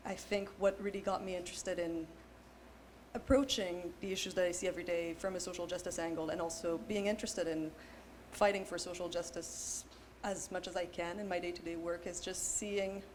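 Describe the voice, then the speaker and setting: A young woman on a panel, talking in an animated, casual way with many fillers. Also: fairly low pitch, normal speed. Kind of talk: panel discussion. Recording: faint background noise.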